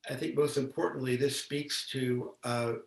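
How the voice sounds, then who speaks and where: A man giving a measured Zen dharma talk as a teacher, speaking haltingly and deliberately with long pauses. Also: mid-range voice, steady tone, gravelly. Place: indoor room, talk given over video call. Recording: roomy, echoey, distant microphone on video call.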